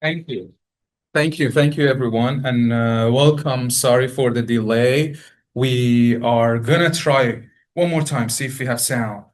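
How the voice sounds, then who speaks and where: Persian-accented man giving a relaxed lecture. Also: medium pitch, unhurried. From Iran. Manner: hesitant, many fillers and restarts, friendly. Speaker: guest lecturer. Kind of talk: live academic lecture to an audience. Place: lecture hall.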